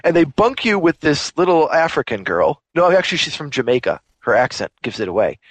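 A man's voice: a little high, very animated, a little fast, no room sound. A man on a gaming podcast ranting sarcastically about a game. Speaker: podcast co-host. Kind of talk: comedic game review rant.

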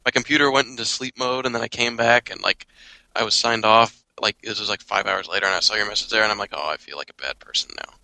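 A man's voice: on the low side, fast, gravelly, bright, forceful tone, no room sound, low-quality recording. A man chatting casually about a video game as a panelist on a podcast.

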